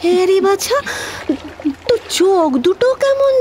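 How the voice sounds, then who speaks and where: A woman's voice: very high-pitched, expressive, unhurried, noisy background. A woman playing an older village maidservant in an audio drama, rustic, motherly and emotional, speaking rural West Bengal dialect. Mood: concerned, motherly. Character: older village maidservant on pilgrimage, tender-hearted, plain-spoken.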